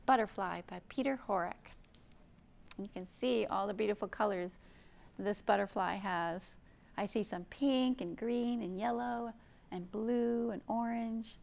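A woman in her fifties hosting a children's storytime, speaking gently and expressively in simple phrases, with questions for young listeners. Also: mid-range voice, gravelly, a little hiss or hum. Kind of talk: read-aloud storytime for young children, with chat and song. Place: indoor library program room.

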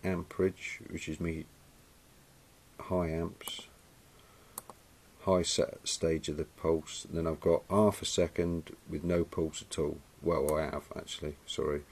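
A man's voice: extremely low pitch, flat delivery, a little slow, creaky, husky. A man giving a casual welding demonstration in a workshop, matter-of-fact and chatty, calling people "mate". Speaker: welding instructor. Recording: noisy workshop camera audio.

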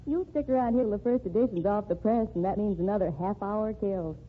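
A woman's voice: mid-range voice, quick pace, slightly rough, natural voice. A woman playing a weary newsroom reporter. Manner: wry, weary. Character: tired young woman reporter, wry. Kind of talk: 1940s radio acting. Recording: tinny old radio broadcast with hiss.

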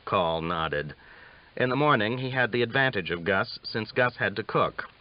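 A man's voice: fairly low pitch, lively intonation, normal speed. A man reading a western novel aloud as a scripted audiobook narration. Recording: dull lo-fi audiobook recording.

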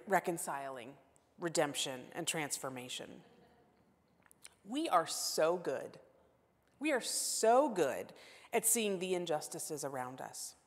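A woman in her forties preaching from a pulpit in a church sanctuary, her voice carrying a long hall reverb. She is earnest and self-deprecating, swinging from emphatic declarations to soft, hushed asides. Her pitch sits in an ordinary middle range for a woman. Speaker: guest preacher. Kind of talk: sermon. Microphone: lapel mic.

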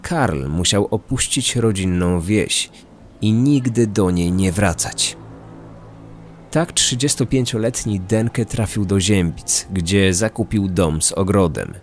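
A man narrating a scripted true-crime podcast in a measured, articulate manner. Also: low voice, soft background music.

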